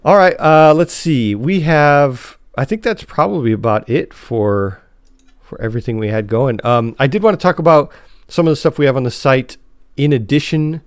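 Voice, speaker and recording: A man co-hosting a podcast in casual, slightly halting conversation. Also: mid-range voice, clean tone, no echo, clean studio sound. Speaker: podcast host.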